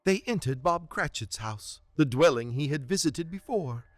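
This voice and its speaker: Animated male storybook narrator. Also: a little high, moderate pace, no room sound. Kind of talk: dramatic scripted storybook narration.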